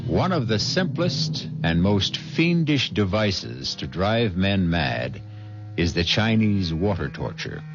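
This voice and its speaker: A man narrating a mystery radio drama as host, ominous and deliberate in a theatrical scripted style. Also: deep voice, very animated. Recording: dull vintage radio recording. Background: music.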